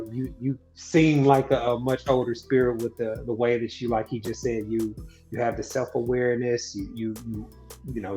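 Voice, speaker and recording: Hesitant male podcast interviewer. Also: mid-range voice. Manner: hesitant, many "uh" fillers and restarts. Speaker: podcast host.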